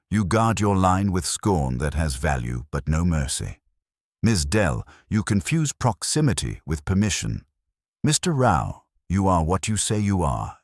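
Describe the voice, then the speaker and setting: A man's voice: low voice, no echo, clean studio sound, heavily acted. A man voicing an imperious, cerebral detective in an audiobook, pompous, formal and commanding as he passes cold judgement.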